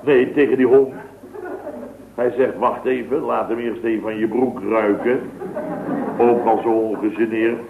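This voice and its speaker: A man's voice: fairly low pitch, fairly even intonation, a little slow, creaky, long pauses, noisy background. A man playing a boastful, rambling contractor in a 1960s radio comedy. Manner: rambling, boastful, full of tag words. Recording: tinny, echoey old radio broadcast.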